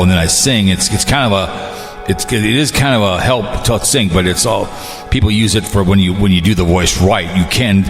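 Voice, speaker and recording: Rambling low-voiced man chatting. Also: in a large hall. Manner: rambling, repetitive, stammering over phrases. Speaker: online show host and comic voice performer. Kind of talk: casual group chat.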